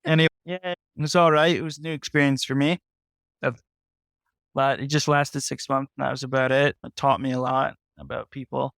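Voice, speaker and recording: A man with a smooth, resonant voice at a middling pitch, speaking in a measured, explanatory way. The sound is dry and close-miked. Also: little pitch movement, a bit bright, no echo. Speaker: podcast co-host. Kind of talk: casual faith discussion.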